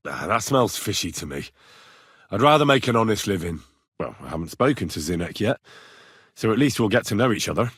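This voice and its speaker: A young medieval adventurer in a video game. His delivery is lively and varied, with a slightly rough, husky edge, blunt and wry. The recording is dry and close. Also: fairly low pitch, brisk, no room sound, heavily acted. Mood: wry, reluctant. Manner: earnest, blunt, exasperated, conversational. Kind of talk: video game dialogue acting.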